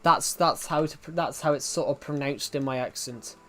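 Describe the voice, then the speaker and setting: Young British streamer with thick regional accent. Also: male voice, fairly high pitch, normal speed, bright, forceful tone. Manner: rambling, restarts often, reads chat aloud. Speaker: game streamer. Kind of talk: casual live-stream chat.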